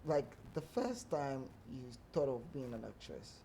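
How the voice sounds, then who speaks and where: A man's voice: mid-range voice, sing-song, unhurried, mellow, a little hiss or hum, rough recording. A Ghanaian man hosting a podcast, interviewing a guest with playful, teasing banter. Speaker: podcast host. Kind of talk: casual interview chat.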